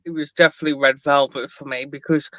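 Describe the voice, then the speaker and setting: A man chatting on a podcast with a deadpan, wry manner. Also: fairly high pitch, fast talker, no room sound. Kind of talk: casual podcast chat. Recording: dull lo-fi mic.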